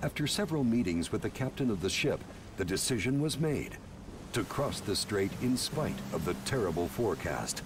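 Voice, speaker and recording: A man's voice: fairly low pitch, big pitch swings, unhurried, distant mic, background noise. A man narrating a documentary in a scripted way.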